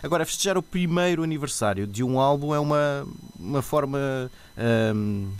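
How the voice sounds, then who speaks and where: A man's voice: medium pitch, animated, normal speed, clean tone. Male radio host, easygoing. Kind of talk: live radio interview.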